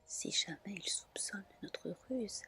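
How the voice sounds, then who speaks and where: A woman voicing a shrewd, scheming goddess in a soft, measured, persuasive ASMR storybook reading. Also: mid-range voice. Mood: worried, calculating.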